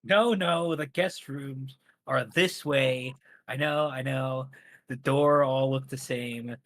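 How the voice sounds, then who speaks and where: A man voicing a flustered, chatty young female unicorn scholar in a high, drawn-out put-on voice. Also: a bit bright, slight background noise. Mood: flustered chatter.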